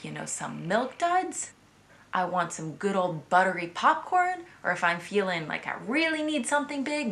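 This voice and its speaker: American woman vlogger in her thirties, bright and playful, chatting with asides to the camera. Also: mid-range voice. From United States. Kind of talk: casual vlog chat to camera.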